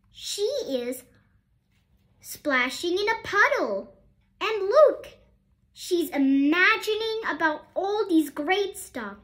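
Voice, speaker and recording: A woman reads a picture book aloud in a high, swooping voice. She takes her time and turns to young listeners with expressive questions. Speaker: storybook reader.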